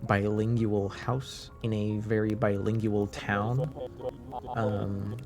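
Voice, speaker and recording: A native French-speaking man hosting a relaxed livestream. His voice has a creaky, gravelly edge and sounds a little under the weather. He talks slowly and haltingly, trailing off often, with faint music playing behind him. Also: on the low side. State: slightly under the weather, deeper than usual, clearing throat. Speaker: live streamer, host. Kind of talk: casual livestream chat with viewers.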